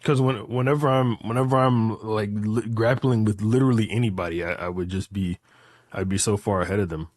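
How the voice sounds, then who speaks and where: A man's voice: on the low side, fairly even intonation, brisk, a little mellow, dry recording, studio quality. Young man, casual, emphatic and repetitive. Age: late 20s. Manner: emphatic, repeats phrases, profane slang. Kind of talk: casual chat, rambling hypotheticals.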